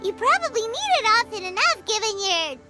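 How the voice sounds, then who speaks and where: A tiny, cheeky fairy companion squeaks along in a game cutscene. The pitch sits extremely high and leaps around. A loud game score plays underneath. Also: female voice, big pitch swings, fairly quick. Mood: teasing. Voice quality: squeaky, childlike. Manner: blunt teasing, bouncy exclamations. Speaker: companion character. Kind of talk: video game voice acting. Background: loud game music.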